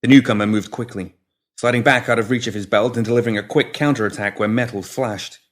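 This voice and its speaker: Man narrating dark fiction. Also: fairly low pitch, steady tone, quick pace. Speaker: story reader.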